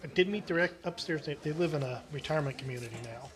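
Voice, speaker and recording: A man's voice: on the high side, fast. A man talking in a casual, conversational way at a club meeting. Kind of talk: casual chat. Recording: live club meeting with crowd noise.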